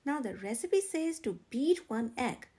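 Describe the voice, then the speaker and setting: Cautious storybook turtle played by a woman, high-voiced, measured and instructive, a little bossy. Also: big pitch swings, mellow, slightly rough, exaggerated voice. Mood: firm, instructive.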